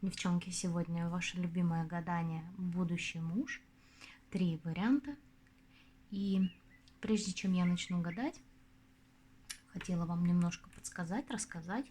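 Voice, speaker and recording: A young adult woman hosting her own channel as a tarot reader, chatting casually to her viewers, her voice going hoarse. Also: lowish voice, a little slow, long pauses, mellow, slight background noise. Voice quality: husky. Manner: chatty, confiding, addresses viewers as girls. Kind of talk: casual advice talk to viewers.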